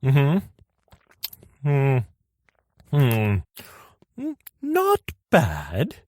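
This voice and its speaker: A woman's voice: extremely low pitch, huge pitch swings, extremely slow, darkish tone, heavily acted. A woman playing a flamboyant, pompous male food judge in a comic radio play. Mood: judging, theatrical. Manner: pompous, exclamatory, savouring.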